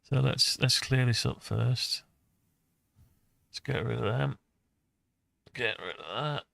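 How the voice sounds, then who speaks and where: A man talks himself through a software task in a very creaky, gravelly voice. His delivery is slow and flat, muttered as he thinks aloud. The sound is dry and close, like an informal hobby tutorial. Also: medium pitch, no echo. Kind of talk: unscripted screen-recorded software walkthrough.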